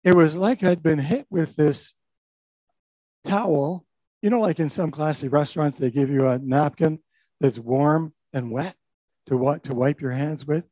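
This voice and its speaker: An older man, a pastor, recounts his own story in a warm, mellow voice. He talks reflectively, with small restarts along the way. The sound is close and dry. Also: on the high side, unhurried, no room sound. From Canada. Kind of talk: personal testimony talk.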